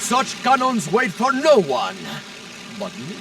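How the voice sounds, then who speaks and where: A man's voice: extremely high pitch, sing-song, unhurried, far from the mic, noisy background, full character voice. A man playing a boastful, melodramatic Mexican-accented racing plane hero in a video game, grandiose and theatrical. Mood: triumphant, boastful. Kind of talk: video game character acting.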